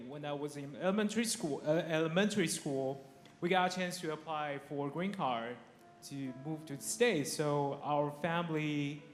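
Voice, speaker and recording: A man from Taiwan, sharing his life story with a church congregation in a chatty way, laughing often and restarting his sentences. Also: on the high side. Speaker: guest speaker. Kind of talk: personal testimony to a congregation. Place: church sanctuary. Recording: echoey hall with faint noise.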